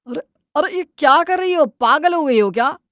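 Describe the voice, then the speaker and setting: A woman's voice: sits high, animated, moderate pace, a bit bright, dry recording, studio quality, voice pushed up. A woman voicing a hapless, henpecked young husband with a meek, pleading manner in a domestic cartoon story. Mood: alarmed.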